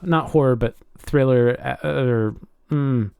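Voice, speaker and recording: A man's voice: medium pitch, takes it slowly, vocal fry, a little mellow, clear, resonant tone, no echo, clean studio sound. A man co-hosting a podcast in casual chat, telling things informally and hesitantly as he goes. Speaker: podcast co-host.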